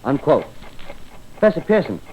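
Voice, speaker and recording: Earnest 1930s radio reporter, noisy recording. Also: male voice, on the high side, huge pitch swings, mellow, highs cut off. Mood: formal, attentive. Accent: American. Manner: formal, deliberate, announcerly. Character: earnest male radio reporter broadcasting on location. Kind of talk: 1930s radio drama acting, on-location commentary.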